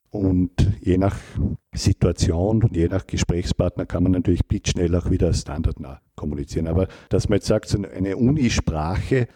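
A middle-aged man, a linguistics professor giving measured, academic interview answers and often rephrasing himself. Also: deep voice, dark tone, slightly rough.

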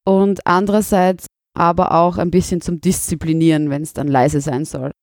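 Austrian woman in her thirties, teacher, measured and candid, dry close mic. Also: lowish voice, fairly even intonation, a little mellow, dry recording. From Burgenland, Austria. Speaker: teacher, interviewee. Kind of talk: interview answers.

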